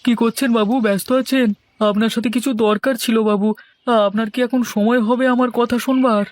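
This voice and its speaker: A man's voice: very high voice, fairly even intonation, a little fast. A man playing a poor, meek village labourer in a cartoon, deferential and eager to please. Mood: pleading. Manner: deferential, pleading. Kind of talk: Bengali cartoon voice acting.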